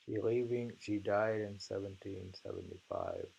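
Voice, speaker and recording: An older man reads poems and literary commentary aloud, haltingly, pausing to murmur and muse between lines. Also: on the low side, huge pitch swings, vocal fry, darkish tone, breathy edge, low-quality recording. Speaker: reader of haiku and commentary. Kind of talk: reading poems and literary criticism aloud with informal asides.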